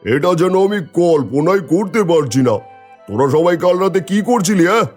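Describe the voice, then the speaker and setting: A man acts out a stern cartoon landlord who orders and scolds. His voice is dark and rounded, somewhat high, with music underneath. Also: very animated, a little fast. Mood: stern, scolding. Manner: commanding, scolding. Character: stern, authoritative male village landlord. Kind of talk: cartoon voice acting.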